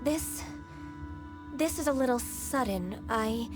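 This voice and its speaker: A woman playing a gentle, dutiful half-human adeptus secretary in a video game, with polite, formal, sometimes hesitant speech. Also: a little high, animated, slow pace, over music. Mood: hesitant, sorrowful.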